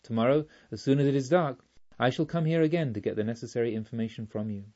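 Audiobook robber chief, read by a man: quiet menace, remorse, formal measured delivery, slightly muffled sound. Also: medium pitch, natural voice. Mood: grave, earnest. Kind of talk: audiobook storytelling.

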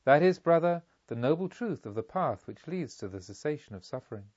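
A man's voice: a little high, big pitch swings, darkish tone, dry recording, dull audio. Solemn spiritual master, read by a man, dry sound. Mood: solemn, emphatic. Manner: solemn, emphatic, rhetorical, deliberate. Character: serene revered male spiritual master teaching a disciple.

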